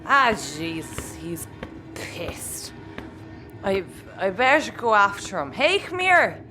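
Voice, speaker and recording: A woman's voice: mid-range voice, sing-song, sharp tone, faint music behind, exaggerated voice. A woman playing a doting, tipsy older Irish woman who rambles fondly in an audio comedy. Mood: tipsy, affectionate. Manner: slow, rambling, affectionate. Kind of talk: sci-fi audio comedy acting.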